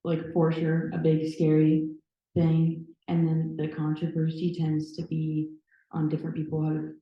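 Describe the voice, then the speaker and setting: A young woman from Nebraska speaks on a youth panel, thoughtful and full of fillers, with a dry sarcastic streak. Her voice sits quite low, and the recording is dull and drenched in room echo. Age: young adult. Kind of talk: panel discussion. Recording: echoey room, dull sound.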